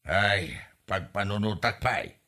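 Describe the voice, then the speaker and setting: Casting: shifty village captain, played by a man, money-grubbing, bright forceful voice, Ilocano accent, podcast comedy drama. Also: lowish voice, unhurried. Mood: defiant.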